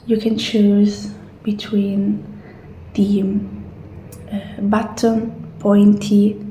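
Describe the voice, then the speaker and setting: A woman doing a soft, partly whispered ASMR roleplay as a gentle attendant walking a client through options. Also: medium pitch, completely flat delivery, extremely slow. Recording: roomy echo, faint background noise.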